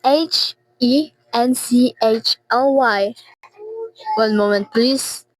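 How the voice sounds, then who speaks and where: A woman's voice: on the high side, drawn-out words, rough recording. A teenage girl acting in a radio drama as a formal, detached female telephone operator with a polite, routine manner.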